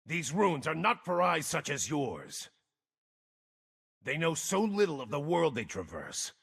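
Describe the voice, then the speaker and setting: An actor playing a gruff, brooding wandering mage in a video game, world-weary and terse, with a raspy, gravelly voice. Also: male voice, on the high side, sing-song, a little slow, a bit bright, big-room sound, heavily acted. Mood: weary, grim. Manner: terse, grim, theatrical delivery. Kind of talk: video game character voice lines.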